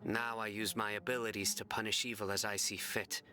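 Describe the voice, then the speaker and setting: A man playing a stern, solemn desert judge in a video game, calm and dryly serious, with measured, deliberate delivery. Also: on the low side, slight background noise. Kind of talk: scripted video game character lines. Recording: music behind.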